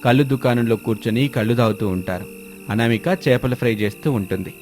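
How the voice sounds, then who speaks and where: A man's voice: medium pitch, brisk, darkish tone. A man narrating a scripted moral story as storyteller.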